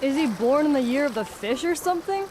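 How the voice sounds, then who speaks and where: Brisk film director, high-pitched woman acting. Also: lively intonation, over loud music. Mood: pragmatic. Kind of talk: audio drama dialogue.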